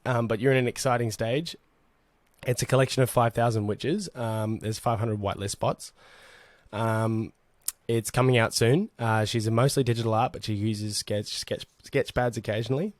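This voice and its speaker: A man hosting a casual online chat with artists, relaxed and rambling, with many fillers and restarts. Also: mid-range voice, moderate pace, no echo. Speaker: host.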